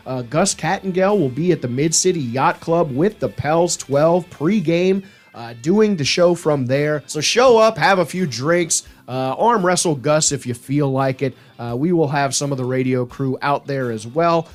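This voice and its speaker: A man's voice: on the high side, expressive, a little slow, faint music behind. A man co-hosting a sports podcast with casual, playful, mock-dramatic banter. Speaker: podcast co-host.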